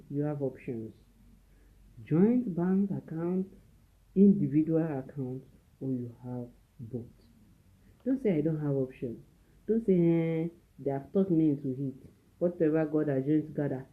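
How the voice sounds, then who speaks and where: Soft-spoken Nigerian woman teaching, phone-like sound. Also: sits low. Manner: repeats key phrases for emphasis; speaking softly at home. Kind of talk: teaching talk with preaching. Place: at home. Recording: narrow phone-like audio.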